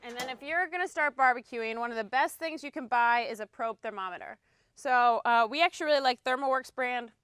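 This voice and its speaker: A woman's voice: on the high side, fairly quick, fairly bright tone, a little hiss or hum. A woman in her thirties giving a casual, chatty cooking demonstration on an outdoor deck, explaining as she works. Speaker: cooking instructor. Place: outdoor deck beside a grill.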